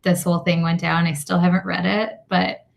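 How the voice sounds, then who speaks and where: A woman's voice: fairly low pitch, level tone, normal speed, vocal fry. A woman hosting a meetup interview over a video call, chatty and friendly, with frequent fillers.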